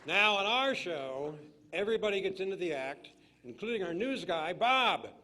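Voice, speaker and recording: A man's voice: high-pitched, sing-song, moderate pace, bright, forceful tone, slight background noise, heavily acted. A man playing the host of a radio variety show, presenting acts in a scripted manner. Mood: welcoming.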